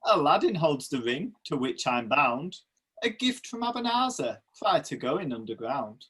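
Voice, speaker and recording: A man playing an obliging pantomime genie, declaiming in rhyme with a husky voice. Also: fairly high pitch, big pitch swings, unhurried, fairly bright tone, heavily acted. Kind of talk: rhyming amateur pantomime acting.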